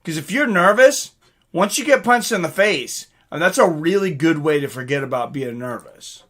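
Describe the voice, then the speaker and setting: A man's voice: fairly high pitch, expressive, normal speed, fairly bright tone. A man hosting a live stream, chatting casually and reading out comments from viewers.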